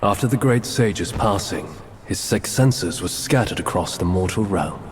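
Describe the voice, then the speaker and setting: An actor playing a serene male bodhisattva in a game cutscene, speaking in a measured, formal way. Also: deep voice, animated, a little hiss or hum. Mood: solemn.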